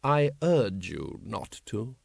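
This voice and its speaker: A suave aristocratic ruler, played by a male narrator, speaks mildly and very slowly. Every phrase is formal, measured and understated, in storybook fantasy style. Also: on the high side, very animated, a bit bright, dry recording, clean studio sound, full character voice. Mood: calm, dry. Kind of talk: audiobook character acting.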